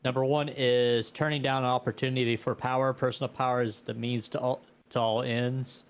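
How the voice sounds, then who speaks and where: A man's voice: mid-range voice, little pitch movement, a little fast, smooth. Casual man with a mid-range voice. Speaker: tabletop game player. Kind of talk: casual game-table chat.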